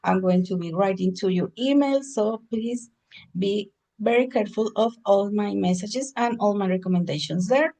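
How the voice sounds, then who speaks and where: A woman's voice: mid-range voice, a little slow, dark tone, poor audio. Woman teaching an English class. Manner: explains in Spanish, switches to English for examples. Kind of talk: online class lecture.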